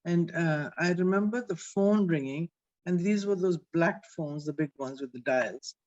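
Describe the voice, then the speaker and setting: A woman in her seventies, an author reflecting on her book in an interview, telling her story with pauses and restarts. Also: fairly low pitch, darkish tone, lo-fi sound. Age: 70s.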